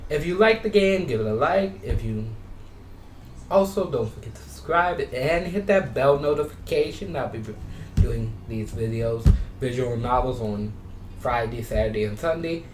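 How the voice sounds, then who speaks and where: A man doing casual gameplay commentary, speaking haltingly and often repeating himself or restarting his sentences. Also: mid-range voice, expressive, a little hiss or hum. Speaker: video game streamer. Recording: roomy echo with music behind.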